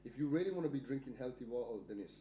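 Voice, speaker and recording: A man hosts a podcast in a casual, chatty way, telling little stories from his day. His voice is dark and mellow. The room around him is roomy and echoey, with a faint hum underneath. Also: mid-range voice, lively intonation, rapid-fire, muffled recording. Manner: chatty, tells anecdotes. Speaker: podcast host. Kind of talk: casual chat.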